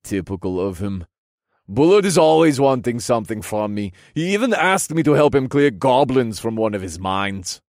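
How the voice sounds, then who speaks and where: A man voicing a regal, genial fantasy king with formal, measured phrasing in an audiobook. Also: medium pitch, big pitch swings, a little mellow, no room sound, studio quality, pitched above the performer's own voice. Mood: weary, thoughtful.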